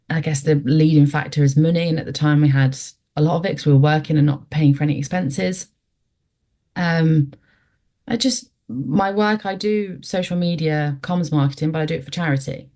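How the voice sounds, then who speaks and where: Woman in her twenties, guest, low mellow voice, reflective and halting. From West Yorkshire, England. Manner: reflective, hesitant, lots of fillers. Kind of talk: conversational storytelling interview.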